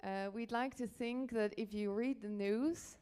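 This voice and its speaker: Dutch woman doing a panel talk, smooth clear voice, kinda halting as she explains stuff. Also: fairly high pitch, fairly even intonation, warm tone. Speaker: panel speaker, journalism editor. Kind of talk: conference presentation.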